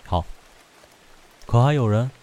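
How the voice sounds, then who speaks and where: An actor playing a calm, aloof and teasing male immortal in a Chinese fantasy audio drama. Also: medium pitch, takes it slowly. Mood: calm, assured. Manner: composed, dryly teasing.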